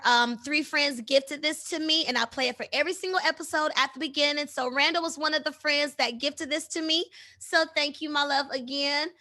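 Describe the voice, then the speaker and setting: A woman's voice: high-pitched, sharp tone. A woman hosting a casual podcast interview, speaking in an effusive, affirming and affectionate way. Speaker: podcast host.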